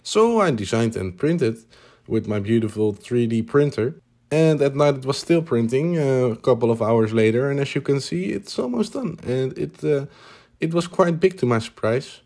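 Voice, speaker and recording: Mellow-voiced man narrating his hobby build. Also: medium pitch, expressive, moderate pace. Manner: hesitant, many "uh" fillers, plain upbeat remarks. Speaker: hobby builder showing his home cockpit project. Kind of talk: casual voice-over narration.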